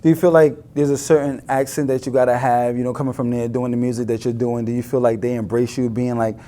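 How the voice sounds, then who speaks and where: A man's voice: mid-range voice, flat delivery, quick pace, dark tone. A man from New York City hosting an interview, asking questions with playful joking asides. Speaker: host.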